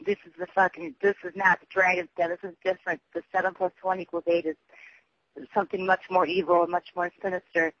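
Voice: mid-range voice, fast talker, no echo. A person leaving a rambling, urgent voicemail over the phone, repetitive, emphatic and prone to swearing. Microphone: telephone. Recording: muffled, dull phone voicemail.

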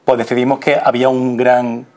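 A man's voice: medium pitch, flat delivery, fairly quick, darkish tone. A man in his thirties with an accent from Spain, explaining conversationally as a studio interview guest on a lapel mic. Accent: Spanish from Spain. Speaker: interview guest, radio theatre performer. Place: small TV studio.

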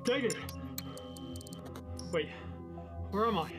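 Man playing shaken, slow-talking stranded space engineer. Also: high voice, lively intonation, over music. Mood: disoriented. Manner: halting, fragmented speech. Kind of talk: sci-fi audio drama acting.

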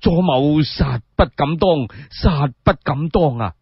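A man voicing a humble, excitable old blind storyteller in a Cantonese radio tale, effusive and deferential. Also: fairly high pitch, takes it slowly, gravelly, slightly rough, no room sound, lo-fi sound, heavily acted. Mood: humbly flattered.

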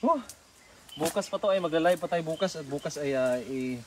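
A man's voice: fairly high pitch, normal speed. A man with a Filipino accent chatting casually as he works outdoors as a fishing livestream host. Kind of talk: casual chat while working. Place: outdoors on a grassy waterside bank. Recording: clearly noisy outdoor phone recording.

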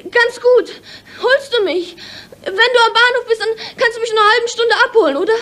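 An actress playing a frightened young woman held hostage in a 1970s radio crime drama, tense and feigning calm. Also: very high-pitched, brisk, background noise. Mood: anxious.

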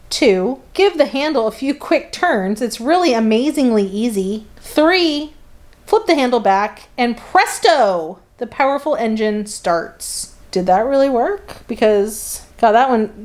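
A woman's voice: a little high, a little mellow. A woman giving casual, sardonic running commentary and reading printed copy aloud in a conversational way. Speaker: host of a catalog flip-through series.

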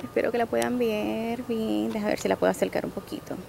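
A woman's voice: on the high side, fairly even intonation, a little fast, vocal fry. A young woman from the Dominican Republic chatting casually through a camping vlog, upbeat and full of fillers, filmed on a handheld camera outdoors. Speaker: vlogger. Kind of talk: casual travel vlog narration. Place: outdoors at a campground and pool. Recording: loud ambient noise and crowd.

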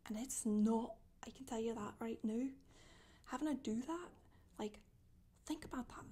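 Casting: woman as a gossipy, boastful witch for soft ASMR storytelling, breathy and mellow, often whispering. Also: high voice, heavily acted. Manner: rambling, gossipy, casual asides. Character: Scottish witch woman confessing, matter-of-fact, chatty, boastful. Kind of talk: soft-spoken ASMR character monologue. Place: small indoor room, seen seated.

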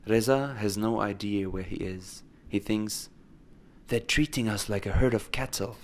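A man narrating a scripted story in a measured, solemn way. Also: lowish voice. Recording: faint noise and ambience behind.

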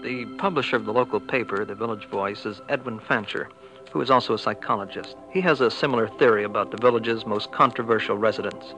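A man's voice: on the low side, quick pace, bright, forceful tone, faint music behind, rough recording. A man delivering scripted narration for a 1960s radio documentary.

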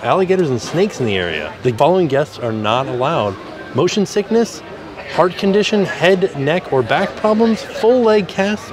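Man vlogging at a theme park. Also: a little high. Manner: informative, reading rules aloud. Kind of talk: theme park vlog commentary.

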